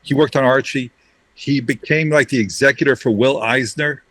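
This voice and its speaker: A man's voice: medium pitch, normal speed, a bit bright. Brash middle-aged man telling stories. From Romania, raised in Brooklyn. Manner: brash, joking storyteller. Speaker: interview guest, ad creative director. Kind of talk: casual storytelling.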